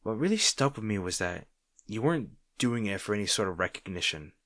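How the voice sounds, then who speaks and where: A man's voice: lowish voice, sing-song, fairly quick, fairly bright tone, no echo, clean studio sound. A man playing an arrogant but secretly caring supervillain rival, bantering with the listener in an audio roleplay. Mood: grudging admiration. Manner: teasing, bantering, grudgingly sincere.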